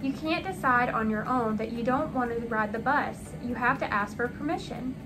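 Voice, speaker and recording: A woman's voice: on the high side, normal speed, noisy background, natural voice. A woman voicing a stern male school principal who admonishes and instructs in a children's storybook.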